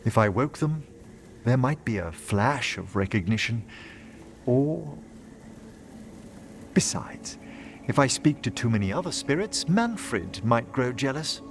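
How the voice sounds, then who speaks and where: Game role: gentle scholarly necromancer, eloquent and courtly, mid-range voice, clear background noise. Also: male voice, mid-range voice, very animated. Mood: dryly fond. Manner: formal, measured, eloquent. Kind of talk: video game dialogue acting.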